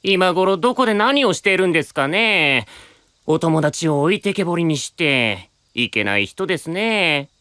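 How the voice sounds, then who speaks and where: A man's voice: a little high, very animated, normal speed, bright, forceful tone, clear, resonant tone, dry recording, studio quality. A man playing a sly, inquisitive young man who needles others politely in an anime audio drama. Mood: teasing. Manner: polite, teasing, insinuating.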